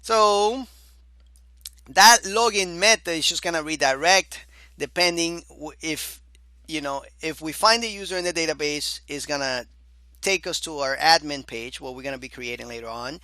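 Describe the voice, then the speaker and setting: A man teaching a beginner programming course over his screen, casual and chatty, often saying "all right" and calling the listener "my dear student"; his voice is going hoarse. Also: on the high side, moderate pace, sharp tone, no room sound. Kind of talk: screen-recorded coding lecture.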